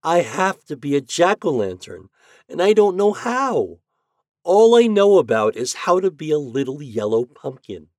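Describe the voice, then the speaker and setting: A man's voice: mid-range voice, animated, moderate pace, hardly any pauses, dry recording, clean studio sound, pitched above the performer's own voice, close to an everyday voice. A man voicing an earnest little boy pumpkin in a storybook, worried and plaintive, with an eager manner. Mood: sad, worried.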